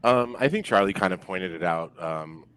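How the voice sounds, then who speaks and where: A man's voice: deep voice, animated, moderate pace, a bit bright, clear, resonant tone. A man chatting casually as a podcast guest, hesitant and self-deprecating.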